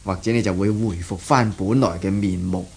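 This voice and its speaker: An indulgent middle-aged father in a 1970s Cantonese radio play. His voice is fairly low and husky, with a Hong Kong accent. He is wry, patient and gently teasing. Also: steady tone, moderate pace, exaggerated voice. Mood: sceptical, wry.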